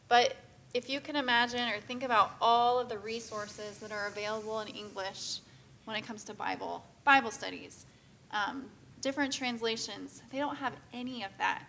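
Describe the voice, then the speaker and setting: Missionary woman addressing a congregation, a bit high and very bright, chatty with many fillers. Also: slight background noise. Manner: casual, filler-heavy, earnest. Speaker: missionary speaker. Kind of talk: church presentation talk.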